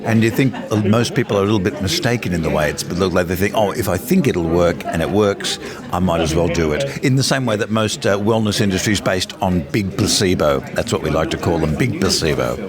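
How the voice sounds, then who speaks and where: A man's voice: low-pitched, lively intonation, brisk, a crowd in the background. An Australian man hosting and interviewing in a casual, chatty, rambling and tongue-in-cheek way. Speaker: podcast host and interviewer.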